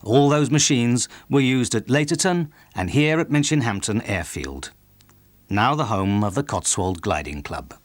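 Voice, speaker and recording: A man's voice: mid-range voice, expressive, a little slow, no room sound. A man reading scripted narration for a documentary as its narrator.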